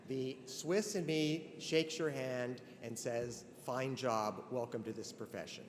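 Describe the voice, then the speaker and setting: A man's voice: on the high side, a little hiss or hum. An older man giving an earnest, conversational ceremonial address, with many restarts. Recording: long hall reverb, faint ambience.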